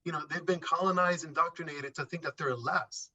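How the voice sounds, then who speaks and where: A man's voice: fairly high pitch, steady tone, a little fast, pushed and bright, slightly rough. A middle-aged man from Puerto Rico and New York City in a casual interview, speaking emphatically and repeating phrases for stress. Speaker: interview guest.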